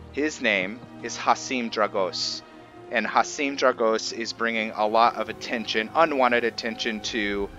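A male let's play host with a fairly low, forceful, bright voice talks casually through his game. He hesitates and restates things as he goes. Music plays behind him. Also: dull audio. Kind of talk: casual gameplay commentary.

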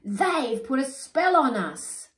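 A woman's voice: medium pitch, very animated, takes it slowly, darkish tone, pitched above the performer's own voice. A woman voicing a crowd of angry jungle animals, reading a storybook aloud. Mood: alarmed. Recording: roomy echo.

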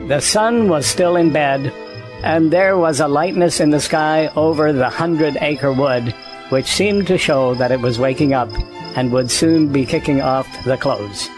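A man with a slightly raised voice tells a children's storybook in a gentle, even way. Music plays clearly behind him throughout. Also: fairly high pitch, a little slow, low-quality recording. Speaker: narrator. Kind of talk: storybook narration.

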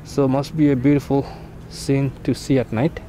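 A man giving relaxed, musing commentary on a walking tour outdoors on a city street, pausing between his remarks. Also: mid-range voice. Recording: street traffic noise outdoors. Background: traffic and street ambience.

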